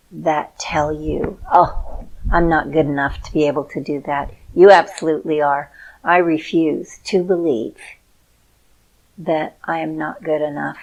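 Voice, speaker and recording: A middle-aged woman speaks to her viewers with slow, soothing encouragement. Her voice sits low and carries a gravelly, husky texture. She takes her time over every phrase. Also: a little mellow, low-quality recording. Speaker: video host. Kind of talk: heartfelt personal talk to viewers.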